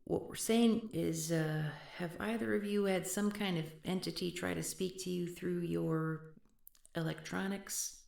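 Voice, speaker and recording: A woman's voice: lowish voice, steady tone, measured pace, darkish tone, no echo, studio quality. A woman voicing a blunt, foul-mouthed leader of a paranormal group in a scripted audio roleplay. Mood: patient. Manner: gruff, wisecracking, swears often.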